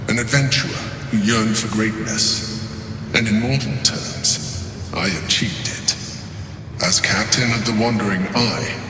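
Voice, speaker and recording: An actor playing a proud, calculating mind flayer in a video game, speaking in a calm, measured, deliberate way. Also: male voice, lowish voice, close to an everyday voice. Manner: measured, deliberate, self-justifying. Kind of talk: dramatic game monologue. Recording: roomy long reverb, music behind.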